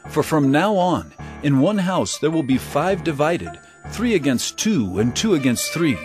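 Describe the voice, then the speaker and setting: A man's voice: fairly high pitch, sing-song, unhurried, a little mellow, faint music behind. An actor playing an earnest, commanding male religious teacher in a scripture audio drama, preaching with solemn emphasis. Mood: stern, urgent.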